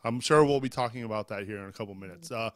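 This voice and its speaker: A man's voice: fairly low pitch, racing, no room sound. Male podcast co-host reading tech news, casual delivery with fillers, dry close mic. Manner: reads news headlines conversationally with fillers. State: just over a cold.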